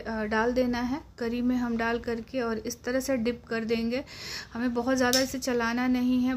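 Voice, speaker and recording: A woman's voice: a little high, darkish tone, slight background noise. A woman with an Indian accent giving a step-by-step cooking tutorial voice-over as a home cook. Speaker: home cook presenting a recipe.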